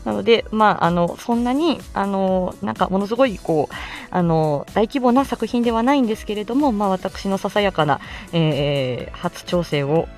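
A woman hosts her casual morning audio show alone over soft background music. Her pitch sits in a middle range for a woman. She rambles through announcements in a giggly, self-deprecating way, hesitating as she goes. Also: normal speed. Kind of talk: casual solo chat with announcements.

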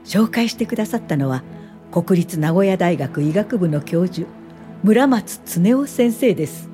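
落ち着いた柔らかな声の五十代女性. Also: female voice, fairly low pitch, animated, warm tone, faint music behind. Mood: reflective. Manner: polite, measured, reflective storytelling. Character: thoughtful 58-year-old woman welfare official recalling her life. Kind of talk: radio drama narration and dialogue.

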